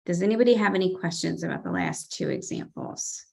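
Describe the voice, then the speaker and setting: A middle-aged woman with a lowish voice teaches fiction craft over a video call. She reads passages aloud and explains them with earnest enthusiasm. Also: wildly swooping pitch, brisk, vocal fry, warm tone. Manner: earnest, enthusiastic about examples. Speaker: writing workshop presenter. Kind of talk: presenting a lesson on a video call, reading excerpts aloud.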